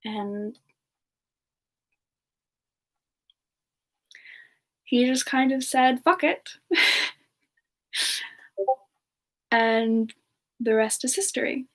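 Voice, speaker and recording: A woman playing a gentle, romantic demon woman, soft-spoken and hesitant, answering questions in a semi-ASMR roleplay. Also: fairly high pitch, unhurried, rough recording. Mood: wistful, tender. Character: gentle, romantic female demon reborn from a past life. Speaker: streamer answering viewer questions in character.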